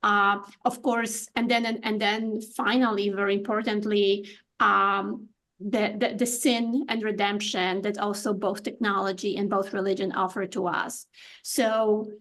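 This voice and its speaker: A woman's voice: medium pitch, little pitch movement, measured pace, fairly bright tone. A woman from Poland with a Polish accent, a guest on a podcast interview, speaking thoughtfully with many fillers and restarts. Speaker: guest artist.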